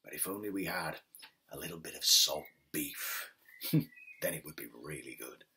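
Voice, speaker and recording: A man voicing a calm, crafty wandering stranger in a folktale, husky and breathy, coaxing and savouring his words. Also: a bass voice, measured pace, pitched below the performer's own voice, heavily acted. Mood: sly, inviting.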